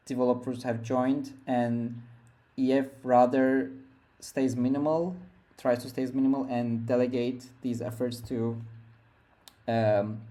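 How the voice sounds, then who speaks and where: A man's voice: mid-range voice, mellow. A young man from Turkey, a guest on a podcast interview, speaking from home in a hesitant way with many fillers and restarts. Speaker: guest developer.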